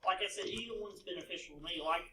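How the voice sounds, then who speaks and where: A man's voice: sits high, quick pace, bright, forceful tone, a little hiss or hum. A man on a math team explaining a problem to his coach, hesitant with lots of fillers. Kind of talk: casual explanation. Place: classroom. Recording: echoey classroom from far off.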